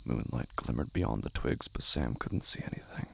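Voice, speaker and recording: A narrator reading literary horror fiction in a hushed, brooding, deliberate way, with a gravelly, breathy voice. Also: very deep voice, monotone, very noisy. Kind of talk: slow literary horror audio drama narration. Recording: music bed under the voice.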